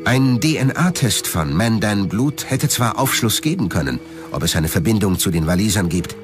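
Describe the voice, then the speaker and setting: A man reads scripted documentary narration in a low voice. His intonation moves expressively up and down. Music plays clearly behind him. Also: animated, normal speed.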